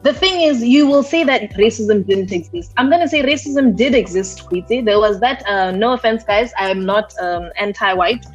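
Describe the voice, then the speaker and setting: A young woman from Botswana, co-hosting a podcast in casual chat, animated and slangy, acting out quotes as she talks. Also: medium pitch, moderate pace, few pauses, husky. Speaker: podcast co-host. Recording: slightly dull lo-fi sound with faint music.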